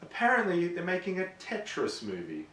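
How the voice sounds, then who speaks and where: Lively man in an echoey room. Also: high-pitched, fairly quick, slight background noise. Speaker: video show co-host. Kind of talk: casual banter about games and films. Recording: echoey room, distant mic.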